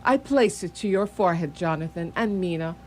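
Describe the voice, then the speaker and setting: A woman's voice: fairly low pitch, a little fast, a little mellow, natural voice. A woman playing a grave, resolute vampire hunter in a radio play, speaking formally and with solemn measure. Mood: grave, urgent. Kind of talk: radio theatre acting. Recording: radio play with background hum.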